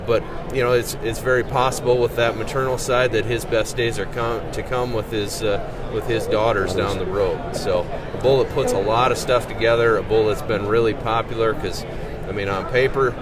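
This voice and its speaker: A middle-aged man giving casual livestock commentary as a panel specialist on a handheld microphone. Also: on the low side. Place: indoor convention hall booth. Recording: busy convention crowd behind.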